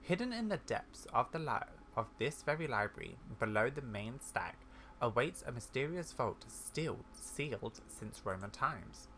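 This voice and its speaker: A man acts out a kindly old granny scholar from a video game. The voice is bright, with a theatrical, wordy storyteller's delivery and endearing manner. Faint noise sits behind it. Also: medium pitch, big pitch swings, unhurried, full character voice. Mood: eager, theatrical.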